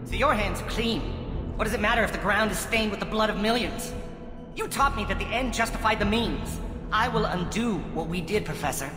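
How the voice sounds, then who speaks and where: A man plays a defiant alien researcher in a sci-fi game, mid-pitched for a male voice and full of righteous accusation. He argues earnestly in a reverberant hall, with music clearly playing behind him. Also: fairly quick, close to an everyday voice. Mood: accusing. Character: defiant male alien scientist, idealistic, self-righteous former student. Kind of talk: video game cutscene acting.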